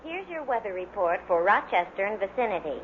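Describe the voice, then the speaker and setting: A woman's voice: mid-range voice, big pitch swings, brisk. An American woman reading scripted announcements in a vintage broadcast style. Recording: dull, faintly noisy vintage broadcast recording.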